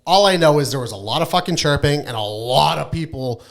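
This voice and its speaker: A man's voice: on the high side, animated, fairly quick, creaky. A man co-hosting a podcast, ranting casually and emphatically with heavy swearing and repeating himself for emphasis. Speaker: podcast co-host. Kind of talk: loud irreverent casual podcast rant.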